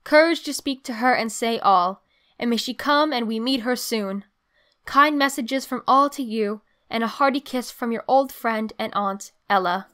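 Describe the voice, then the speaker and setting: An actress playing a pious, earnest grand duchess, reading a letter aloud in a pleading manner for an audio drama. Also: female voice, a little high, normal speed, pushed and bright, smooth. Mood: pleading, hopeful. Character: earnest grand duchess aunt, pious, urging young lovers on.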